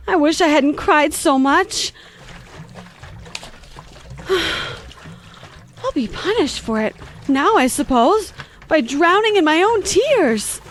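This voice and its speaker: A woman's voice: very high-pitched, slight background noise, heavily acted. A woman playing a curious, polite little girl who chatters earnestly and gets flustered. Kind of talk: audio drama acting.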